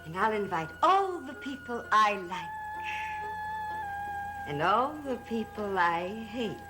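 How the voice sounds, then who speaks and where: An actress playing a giddy, scheming, possessive woman in a gothic soap opera, speaking in a theatrical, deliberate way. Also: mid-range voice, big pitch swings. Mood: giddy, dreamy. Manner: theatrical, deliberate, sing-song. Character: giddy scheming woman, possessive, grandly commanding her mute servant. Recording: old soap soundtrack with music underneath.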